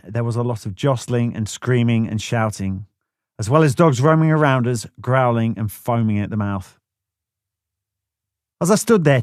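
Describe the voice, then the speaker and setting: A man's voice: medium pitch, darkish tone, no room sound, clean studio sound. A man narrating a first-person memoir audiobook in a blunt, plain-spoken way, swearing freely.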